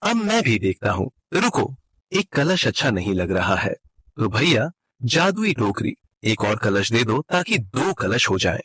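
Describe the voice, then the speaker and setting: A man's voice: medium pitch, very animated, measured pace, a bit bright, slightly rough, no room sound, exaggerated voice. A man playing a greedy village husband in a cartoon fable, eager and dramatic in his delivery. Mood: greedy, demanding. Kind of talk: cartoon moral story acting.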